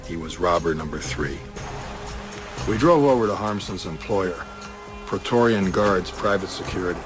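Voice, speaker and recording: A man playing a dry, skeptical police detective in a hard-boiled radio crime drama, clipped and deadpan. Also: deep voice, normal speed, over music. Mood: wry narration.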